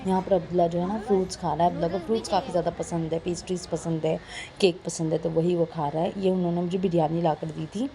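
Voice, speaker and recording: A young woman narrates a vlog in a soft, mellow voice that sits a little low. Her throat is sore and a bit hoarse, and she chats casually, dropping in English words. There is faint noise behind the recording. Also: steady tone, quick pace. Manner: conversational, mixes in English words. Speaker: vlogger narrating a family outing. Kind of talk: casual voice-over narration, chatty.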